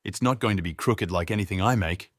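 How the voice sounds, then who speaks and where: Man playing a tall crooked man, dry humor, measured, calm, storybook. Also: low voice, no room sound. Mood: wry. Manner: measured, dryly joking.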